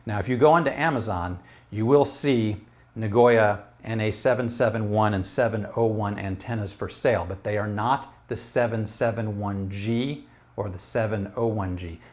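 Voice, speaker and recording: Middle-aged American male reviewer, lowish voice, conversational and explanatory, recorded on a lapel mic at home. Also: normal speed, darkish tone. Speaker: product reviewer. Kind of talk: casual product review to camera. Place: small room at home.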